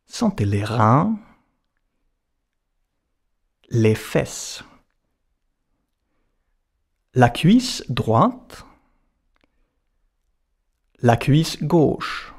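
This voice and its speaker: A man guiding a relaxation as a yoga and meditation teacher, giving measured instructions in short, separated phrases. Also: medium pitch, very animated, creaky, breathy edge. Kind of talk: guided relaxation narration.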